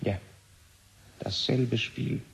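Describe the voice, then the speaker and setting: An actor playing an embittered, defiant physicist, terse, sardonic and commanding, in a science fiction radio drama. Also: male voice, mid-range voice, steady tone, drawn-out words, creaky, husky, heavily acted, rough recording. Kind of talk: 1970 German radio drama acting.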